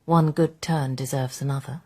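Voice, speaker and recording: An actress playing a clever, forthright younger woman with a husky, seductive manner in a radio drama. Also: very deep voice, fairly even intonation, normal speed, a little mellow. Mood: frank, seductive. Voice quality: husky, breathy.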